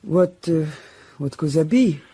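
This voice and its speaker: A woman's voice: deep voice, lively intonation, takes it slowly, mellow, slightly rough, no room sound, full character voice. A woman playing a guarded, anxious lady's maid in an audio drama, formal and deferential in her speech. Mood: wary.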